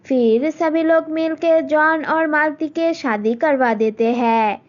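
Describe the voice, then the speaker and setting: A woman narrating a cartoon story in a plain, slightly halting way. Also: high voice. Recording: dull lo-fi recording.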